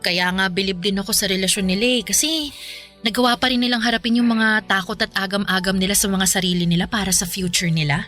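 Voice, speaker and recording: A woman's voice: medium pitch, quick pace, fairly bright tone, soft background music, lightly acted. A young woman with a Filipino accent playing a candid, ambitious, playful girlfriend in a conversational radio drama. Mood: chatty, admiring. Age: young adult.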